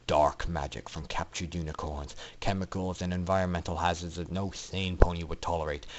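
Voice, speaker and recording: A man reads a dark fantasy story aloud. His delivery is theatrical and emphatic, leaning hard into the drama of the scripted narration. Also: low-pitched, fairly even intonation, fairly quick. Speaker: amateur story reader.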